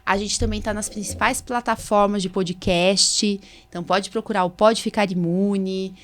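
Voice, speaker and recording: A woman with a Brazilian Portuguese accent hosts a casual podcast. Her voice sits at a middle pitch, and she talks in an informal, chatty manner, like a doctor in relaxed conversation. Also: moderate pace. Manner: chatty, informal, recounts exchanges in acted-out dialogue. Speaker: podcast host, allergist doctor.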